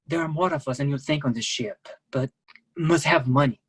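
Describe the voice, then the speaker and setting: A man's voice: medium pitch, moderate pace, a bit bright, slightly rough. A man playing a desperate foreign fugitive masseur in a staged play reading, pleading in clipped broken English. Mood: urgent.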